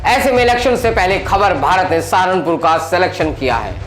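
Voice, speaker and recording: A man's voice: high voice, sing-song, fairly quick. A man presenting a scripted news report in a dramatic, emphatic, rhetorical style. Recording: echoey voice over loud background music.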